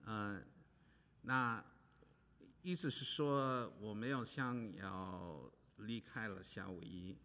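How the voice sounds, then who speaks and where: Slow, low-voiced man preaching in a hall. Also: pushed and bright. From Hawaii. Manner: halting, many pauses and fillers. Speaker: pastor. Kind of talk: preaching with interpreter. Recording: long hall reverb.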